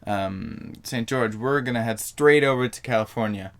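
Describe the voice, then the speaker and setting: A man's voice: medium pitch, normal speed. A young man vlogging to camera in a casual, rambling way, full of fillers and self-corrections.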